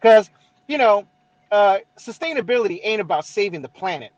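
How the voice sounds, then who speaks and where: High-voiced East LA Chicano man. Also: animated, normal speed, dry recording, dull audio. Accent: Mexican-American, East Los Angeles. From Southern California. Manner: swears freely, drawls out explanations with "right?" checks. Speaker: podcast co-host. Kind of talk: casual chat.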